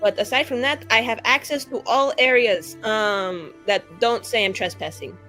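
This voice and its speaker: A woman's voice: on the high side, moderate pace, pushed and bright, faint music behind. A woman playing a tabletop roleplaying game, chatting in character in a breezy, playful way. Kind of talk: casual in-character chat.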